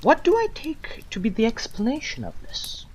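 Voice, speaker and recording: A woman playing a condemned old philosopher, defiant and serene, delivering a solemn, deliberate theatrical monologue to judges with long pauses. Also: fairly high pitch, huge pitch swings, slightly rough, heavily acted. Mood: calm, philosophical. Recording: amateur recording with loud background noise.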